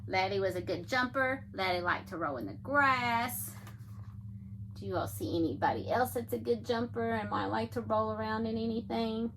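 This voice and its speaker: A woman reading picture books aloud to young children in an animated, engaging way, often addressing her listeners directly. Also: a little high, moderate pace, background noise.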